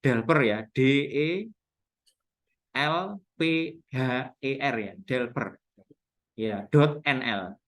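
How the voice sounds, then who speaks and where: An Indonesian man with a Javanese accent speaks at a medium pitch. He gives an informal webinar talk on local history, walking listeners through things step by step and spelling out key terms. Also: extremely slow, pauses often, fairly bright tone.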